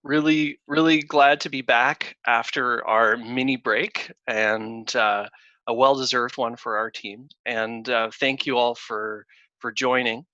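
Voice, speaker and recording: A man hosting a casual webinar over a video call, talking in a halting way with many fillers and restarts. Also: mid-range voice, fairly even intonation, sharp tone, no echo. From Canada. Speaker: webinar host, consulting firm founder. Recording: dull lo-fi video call audio.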